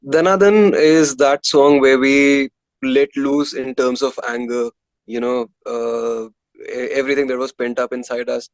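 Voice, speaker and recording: A man's voice: mid-range voice, steady tone, measured pace, no echo. A man with an Indian accent answering candidly as an interview guest, conversational and emphatic, with frequent filler phrases. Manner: emphatic, leans on filler phrases like "you know".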